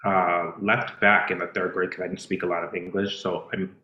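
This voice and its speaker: Deep-voiced man in an echoey room. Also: flat delivery, normal speed, sharp tone, dull audio. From Dominican. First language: Spanish. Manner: reflective, many fillers and restarts. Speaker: dancer and choreographer, podcast guest. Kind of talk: casual interview chat.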